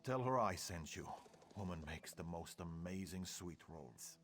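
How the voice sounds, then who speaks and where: Low-voiced gruff soldier. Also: male voice, animated, a little slow, slight background noise. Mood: fond, wry. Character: gruff male soldier, a centurion and family man, weary. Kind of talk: video game dialogue acting.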